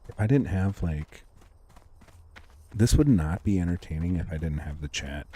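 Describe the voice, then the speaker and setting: A young man livestreaming a game, chatting calmly and casually with his viewers and thanking them for comments. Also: deep voice, normal speed, slightly rough. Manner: laid-back, calm, chatty with viewers. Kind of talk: casual live-stream chat reading comments.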